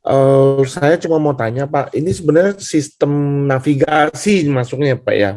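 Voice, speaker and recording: A man's voice: a little high, animated, normal speed, a little mellow, no echo, studio quality. A man speaking as a student in a class discussion, explaining informally and deferentially, circling back over his points. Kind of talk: informal spoken question and explanation.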